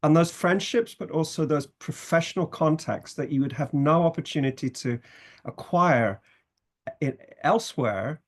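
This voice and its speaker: British male law professor presenting. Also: a little high. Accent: British English. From Britain, family from Scotland, raised in England. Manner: speaks in measured phrases with fillers. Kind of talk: presenting a university programme.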